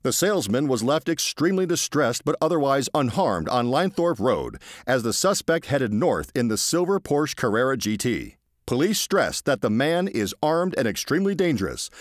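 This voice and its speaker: A man's voice: medium pitch, sing-song, normal speed, no echo, clean studio sound, voice pushed up, lightly acted. A man voicing a male radio newsreader with a formal bulletin delivery.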